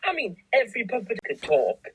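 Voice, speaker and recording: Woman, very husky, mid-pitched, animated, wry, casual comedic co-host. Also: a little fast, rough recording. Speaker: co-host of a puppet craft show.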